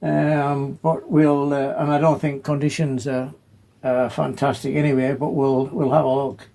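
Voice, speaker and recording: A man gives a casual, unscripted show-and-tell in a halting, hesitant way, often pausing mid-sentence. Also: mid-range voice, level tone, gravelly, dark tone. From New Zealand. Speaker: amateur radio operator, presenter. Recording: noisy amateur home video.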